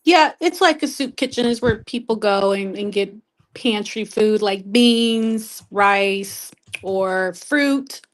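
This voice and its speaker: A woman's voice: medium pitch, takes it slowly. A woman chatting casually as a participant on a live audio show. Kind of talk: casual chat.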